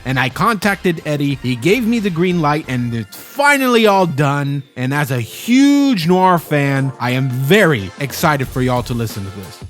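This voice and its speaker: A man hosting a podcast, giving an enthusiastic introduction to his show. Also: fairly high pitch. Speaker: podcast host and producer.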